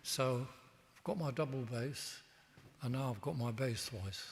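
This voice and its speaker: A man speaking as an interview guest in a church, reflective and halting, with frequent restarts. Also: mid-range voice, a little hiss or hum. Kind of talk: reflective conversation. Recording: long church reverb.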